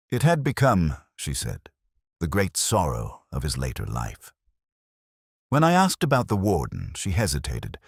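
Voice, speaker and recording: A middle-aged man narrating a first-person detective story in a measured, brooding, literary manner. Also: rumbling low, husky, no room sound, clean studio sound.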